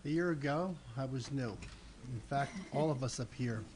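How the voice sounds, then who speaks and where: A middle-aged man, a city commissioner, giving brief, steady, hopeful remarks at a desk microphone in a council chamber. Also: mid-range voice, moderate pace, slight background noise. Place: council chamber, seated at dais.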